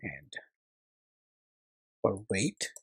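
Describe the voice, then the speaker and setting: A man's voice: fairly low pitch, big pitch swings, extremely slow, creaky, fairly bright tone, breathy edge, no echo, studio quality. A man narrating a step-by-step coding tutorial as its instructor, hesitantly thinking aloud as he works.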